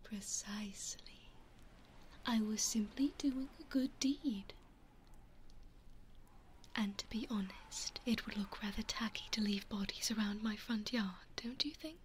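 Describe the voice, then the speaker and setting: Whispering British vampire noblewoman, voiced by a woman, coaxing and politely menacing, unhurried ASMR delivery. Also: medium pitch, a little hiss or hum, lightly acted. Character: genteel female vampire lady, coaxing and smug. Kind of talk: ASMR roleplay.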